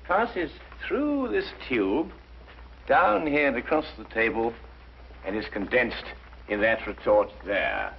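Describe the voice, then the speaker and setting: An actor playing an absorbed, unhurried gentleman detective with an English accent, offhand and precise, in a 1950s mystery drama. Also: medium pitch, sing-song, vocal fry, heavily acted. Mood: absorbed, explaining. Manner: offhand, absent-minded, explains precisely. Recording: dull, faintly noisy 1950s soundtrack.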